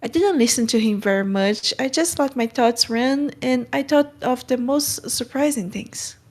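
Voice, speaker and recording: A woman's voice: sits high, normal speed, darkish tone, voice pushed up. A woman reading aloud as an outspoken, imaginative eleven-year-old orphan girl from a storybook, in a chatty, frank way.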